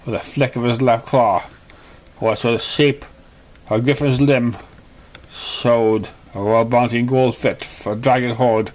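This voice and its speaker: Male storybook narrator, slow pace, mid-low everyday pitch, slightly halting read. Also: steady tone, a little mellow. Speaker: narrator of a fan fiction story.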